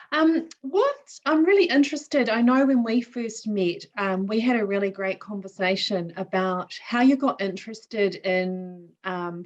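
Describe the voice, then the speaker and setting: Woman hosting an online chat, kinda muffled lo-fi sound, easy pace. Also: mid-range voice. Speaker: host. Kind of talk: hosting a chat on a video call.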